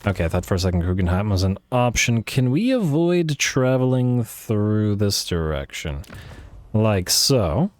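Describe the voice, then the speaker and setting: A man thinking aloud over a strategy game, a little hoarse from losing his voice, with frequent fillers and restarts. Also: fairly low pitch, sing-song, unhurried, no echo. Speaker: let's play host. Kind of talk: casual gameplay commentary.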